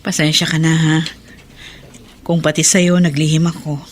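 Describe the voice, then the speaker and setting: A woman playing a humble middle-aged washerwoman mother in a Tagalog radio drama, gentle, pleading and sorrowful. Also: low voice, level tone, normal speed, slight background noise, close to an everyday voice. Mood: sorrowful, secretive.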